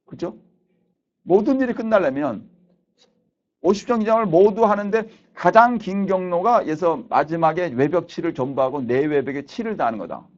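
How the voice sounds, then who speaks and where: Higher-voiced male professor in his fifties. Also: expressive, moderate pace, a little mellow. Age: 50s. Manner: didactic, repeats key points. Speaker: university professor, lecturer. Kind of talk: recorded lecture explaining concepts for exams. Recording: dull lo-fi lecture recording.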